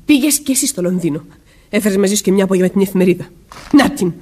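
An actress playing a troubled, defiant young wife under suspicion in a Greek radio drama. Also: female voice, mid-range voice, lively intonation, darkish tone, breathy edge. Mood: cornered, retorting.

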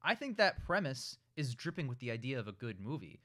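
A man's voice: mid-range voice, big pitch swings, brisk, pushed and bright, no echo, studio quality. A man co-hosting a casual, opinionated discussion, arguing his points and swearing freely. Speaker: podcast co-host.

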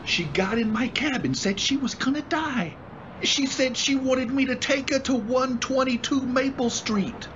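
An actor playing a blunt, wary working-class cab driver in an old-time radio drama style. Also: male voice, extremely high pitch, unhurried, lo-fi sound, noisy background. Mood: shaken. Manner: blunt, colloquial, incredulous.

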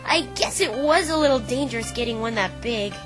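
Girl doing a high voiced cocky pegasus pony, young n brash, casual cartoon game dub, music under it. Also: animated, a little fast, fairly bright tone. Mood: boastful. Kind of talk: fan dub voice acting of a visual novel.

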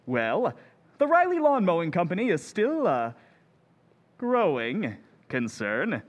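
A man announcing an old-time radio show in a smooth, showy way. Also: high voice, huge pitch swings, takes it slowly. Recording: reverberant hall with faint music.

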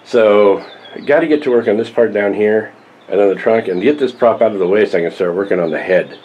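A man's voice: fairly quick, highs cut off, a little hiss or hum. An older man chatting casually to camera as a vlogger, rambling and wry while working on a prop. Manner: rambling, wry, lively pitch. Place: small cluttered room indoors, then patio workshop.

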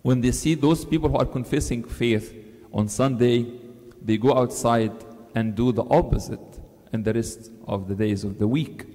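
Man, thirties, priest, earnest sermon, mellow dark tone, lectern mic, long church echo. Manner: earnest, rises in pitch to stress key words. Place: large church, seated at a lectern. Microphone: stand mic on the lectern.

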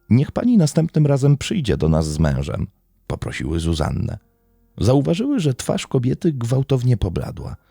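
A man narrates a scripted crime story in a low, mellow voice. His melody rises and falls widely while he keeps a measured storytelling manner, pausing for drama. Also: vocal fry, no room sound. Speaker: true-crime podcast narrator.

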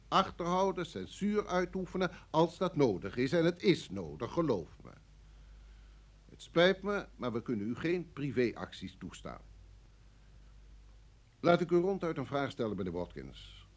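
An actor in a radio play playing a cool, coercive security service official, formal and smoothly insistent. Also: male voice, a little high, very animated, moderate pace. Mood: firm, menacing.

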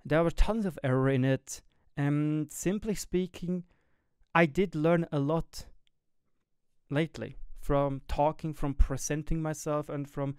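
A young Swiss man with a German-tinged accent speaks close to a boom mic in a small home gaming room, his slightly high, smooth voice rising and falling in a sing-song way. He talks candidly and thoughtfully, often pausing to hunt for the right English word. The sound is dry and close. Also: steady tone, a little mellow, no room sound. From Switzerland. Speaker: gaming YouTuber and streamer. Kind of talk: reflective personal monologue to camera. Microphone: studio mic on boom arm close to mouth, wearing headset.

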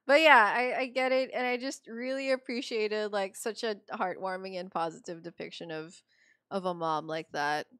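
A woman's voice: medium pitch, steady tone, moderate pace, a bit bright, smooth, no echo, studio quality. A young adult woman from the Philippines chatting casually to viewers on a game livestream in a rambling, chatty way. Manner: chatty, rambling, filler-heavy with frequent "like". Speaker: game streamer.

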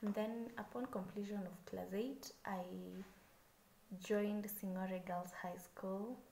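Young Kenyan woman, mellow, roomy sound. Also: medium pitch, steady tone, slow. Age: 20s. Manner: chatty, a little nervous, with many "so yeah" fillers. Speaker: vlogger. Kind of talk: casual self-introduction to camera. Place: small room indoors. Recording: echoey room with faint background hiss.

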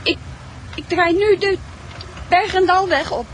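A nervous, polite woman in an old radio thriller. Her voice is extremely high, hesitant and anxious. There is clear noise on the recording. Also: a little slow, low-quality recording. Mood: frightened. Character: nervous, polite woman acting as police decoy. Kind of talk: Dutch radio play acting.

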